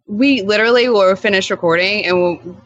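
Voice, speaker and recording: A woman's voice: mid-range voice, fairly quick, a bit bright, no echo, dull audio. A woman talking as a podcast guest, casual and wry, often acting out bits of imagined dialogue. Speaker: podcast guest, co-host of own show. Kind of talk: casual interview chat.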